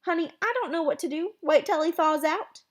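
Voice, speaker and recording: Storybook father, read by a woman: thirties, teasing, amused, wry exasperation, higher register. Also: expressive, normal speed, lightly acted. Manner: joking, exasperated.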